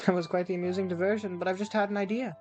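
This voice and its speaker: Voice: medium pitch, little pitch movement, racing, darkish tone, faint music behind, voice pushed up, close to an everyday voice. A reader voicing a cheerful, girlish young princess from a visual novel, sweet, playful and teasing. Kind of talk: visual novel character read-aloud.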